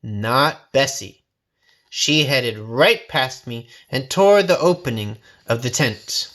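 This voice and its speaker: Animated, bright-voiced man reading a story. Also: mid-range voice, takes it slowly. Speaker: storybook reader. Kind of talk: dramatic scripted storytelling, read aloud in folksy first person.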